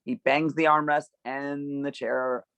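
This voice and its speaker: Gravelly man narrating stage directions. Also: mid-range voice, level tone, slow pace, a bit bright, no echo. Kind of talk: scripted narration, table read.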